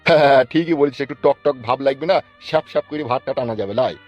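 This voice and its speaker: A man's voice: mid-range voice, very fast, faint music behind, close to an everyday voice. A man voicing a folksy, inquisitive older village uncle in a comedy cartoon. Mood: chatty. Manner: familiar, inquisitive. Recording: dull lo-fi cartoon audio.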